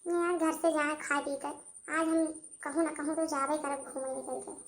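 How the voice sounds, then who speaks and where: Very high-pitched, feisty Awadhi village wife voiced by a woman. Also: steady tone, normal speed, soft background music, close to an everyday voice. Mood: indignant. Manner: scolding, rustic, colloquial. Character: feisty, easily offended village wife and her sisters-in-law. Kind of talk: cartoon comedy dialogue.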